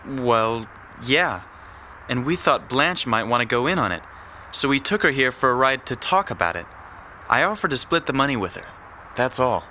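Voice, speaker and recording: A man's voice: medium pitch, very animated, normal speed, bright, forceful tone, a little hiss or hum. An actor playing a young male petty thug in an audio drama, defensive, pleading and evasive. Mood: nervous, defensive.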